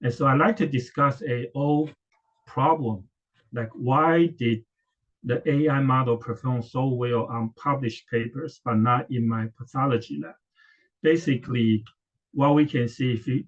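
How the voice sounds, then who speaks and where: A middle-aged man from Taiwan, a professor, presenting at an academic conference with frequent hesitations and restarts. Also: mid-range voice. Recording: dull lo-fi audio.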